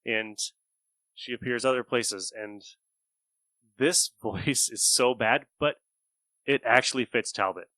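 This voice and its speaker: A man's voice: mid-range voice, takes it slowly, pauses often, bright, forceful tone, dry recording, poor audio. A man hosting a podcast in casual review chat, blunt and free with swearing. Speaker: podcast host.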